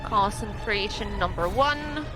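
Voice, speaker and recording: Slow-paced woman playing a tabletop game. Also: medium pitch, animated, poor audio. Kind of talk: casual chat while playing. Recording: music under the voice. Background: music.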